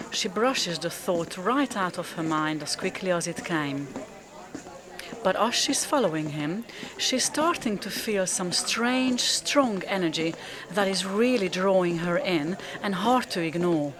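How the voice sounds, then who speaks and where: A woman reading scripted, descriptive narration for a radio drama. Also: on the low side.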